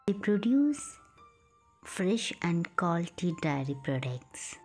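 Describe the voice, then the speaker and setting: A woman's voice: fairly low pitch, big pitch swings, takes it slowly, a little mellow, faint music behind. A woman vlogger narrating a farm trip in a plain voice-over, explaining each stop simply.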